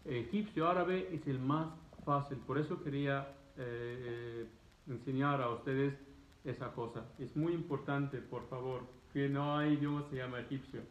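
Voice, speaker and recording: A man in his thirties with an Egyptian accent, a native Arabic speaker, talking casually to camera in emphatic, halting, repetitive phrases. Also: medium pitch, fairly even intonation, slight background noise. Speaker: vlogger answering students' questions. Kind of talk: casual explanatory talk to camera. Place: living room, indoors. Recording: roomy echo, dull muffled sound.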